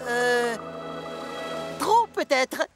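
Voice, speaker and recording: A woman's voice: high-pitched, expressive, very slow, over music, exaggerated voice. A performer voicing a cheeky, teasing young male tank engine in a cartoon dub. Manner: teasing, giggly.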